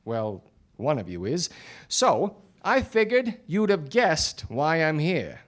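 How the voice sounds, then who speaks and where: An actor playing a wry, deadpan 1940s private detective in a radio noir drama. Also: male voice, on the high side, slow pace, heavily acted. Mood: sardonic. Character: wry 1940s hardboiled male private detective, dryly exasperated. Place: theatre stage before a studio audience. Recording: live radio play with music behind.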